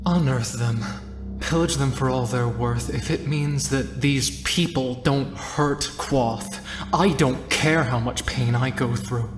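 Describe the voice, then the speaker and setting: A man playing a haunted, fiercely protective woman in a tabletop game, speaking gravely and deliberately. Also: mid-range voice, roomy sound, big-room sound, over music, close to an everyday voice. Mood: grim, determined.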